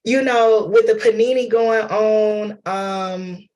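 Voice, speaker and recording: Hoarse man with a cold, slow and chatty, talking to a workshop group, flat delivery. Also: sits high, lo-fi sound. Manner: chatty, loose, addresses audience as y'all and honey. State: losing voice from a cold. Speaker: lecturer and playwright. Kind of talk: informal workshop talk.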